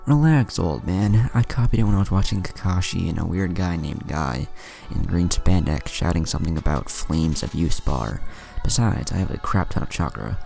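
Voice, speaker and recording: A man's voice: sits low, lively intonation, moderate pace, creaky, few pauses, dark tone. Naruto: a man doing a cocky kid ninja, raised and swinging, over music. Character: brash cocky ten-year-old boy ninja.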